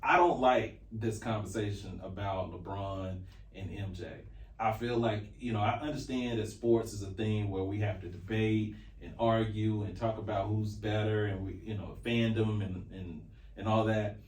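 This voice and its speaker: A man's voice: on the low side, steady tone, moderate pace, darkish tone. A man in his forties from North Carolina with an American accent, chatting casually and emphatically as a podcast co-host about sports. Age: 40s. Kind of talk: casual sports talk, opinionated. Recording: roomy echo, distant from mic.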